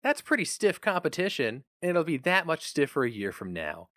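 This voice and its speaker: A man's voice: a little high, wildly swooping pitch, moderate pace, fairly bright tone, dry recording, clean studio sound, pitched above the performer's own voice. A man voicing an eager, stubborn young husband who speaks earnestly and insistently.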